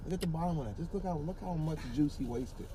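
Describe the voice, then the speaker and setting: A man's voice: on the high side, quick pace, noisy background. A man hosting a casual show, teasing his friends and swearing freely in loose banter.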